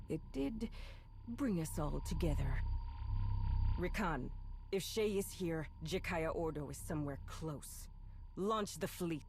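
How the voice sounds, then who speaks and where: Low-voiced, menacing warlord woman. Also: darkish tone, a little hiss or hum, exaggerated voice. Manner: measured, taunting. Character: cold, menacing Mandalorian warlord woman, smug and commanding. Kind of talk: dramatic video game cutscene acting.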